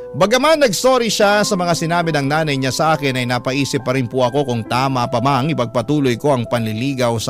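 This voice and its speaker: A man with a Filipino accent giving dramatic first-person scripted narration for a radio drama. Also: mid-range voice. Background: music.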